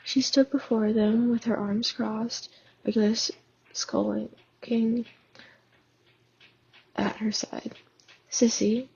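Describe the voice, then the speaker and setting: A woman with a sore, hoarse throat reading fanfiction aloud in a casual way, stumbling and restarting now and then. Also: a little high, level tone, takes it slowly, long pauses, a little mellow, highs cut off. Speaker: fan audiobook reader.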